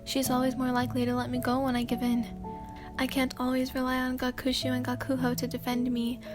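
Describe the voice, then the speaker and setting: A woman with a high voice reads a story in a hushed, sleepy ASMR tone. She keeps an even, level delivery, pausing on fillers and clearing her throat now and then. Music plays softly behind her. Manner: soft and hesitant, many fillers and throat clears. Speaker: ASMR channel host reading fan fiction. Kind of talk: sleepy soft-spoken ASMR reading.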